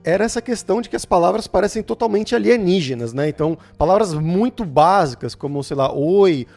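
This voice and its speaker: Brazilian host with a higher voice. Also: male voice, expressive, brisk, a little mellow, soft background music. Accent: Brazilian Portuguese. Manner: leads the talk, asks questions and recaps. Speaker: channel host. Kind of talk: casual chat.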